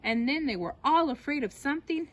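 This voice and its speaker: A woman's voice: high voice, lively intonation, fairly quick, fairly bright tone, soft background music, pitched above the performer's own voice. A woman voicing a polite, anxious little boy turtle in a children's picture book read-aloud, with earnest, pleading, simple phrasing.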